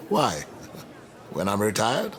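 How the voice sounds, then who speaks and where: An actor playing a reflective older academic mentor in a radio drama, eloquent, measured and wry. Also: male voice, lowish voice, wildly swooping pitch, fairly bright tone, heavily acted. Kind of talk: naturalistic radio drama dialogue.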